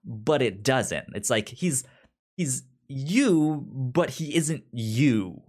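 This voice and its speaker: A man talks as a podcast co-host in an unhurried, casual way. His delivery is halting, with stammers and repeated words as he works through his thoughts. It sounds like an informal discussion between hosts. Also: mid-range voice, lively intonation, darkish tone. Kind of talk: casual comic book discussion.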